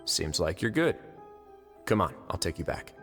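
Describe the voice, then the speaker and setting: A man playing a shrewd, guarded fortress administrator in a video game, speaking in a measured, understated way. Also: low-pitched, big pitch swings, fairly bright tone, exaggerated voice. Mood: calm, guarded. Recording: long reverb, music behind.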